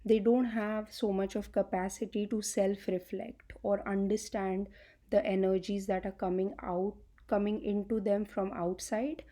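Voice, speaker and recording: Slow, mellow-voiced woman explaining. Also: level tone. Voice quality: low-pitched voice by her own account. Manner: soft-spoken, slow and explanatory, frequent fillers. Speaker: channel host and healing coach. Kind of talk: casual talk to camera. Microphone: no microphone, recorded on device.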